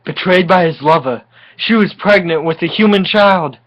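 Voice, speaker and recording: A person giving a tipsy, mock-dramatic reading of comic fan fiction, halting and often giggly. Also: mid-range voice, lo-fi sound. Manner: giggly, frequently breaking into laughter; melodramatic, halting delivery.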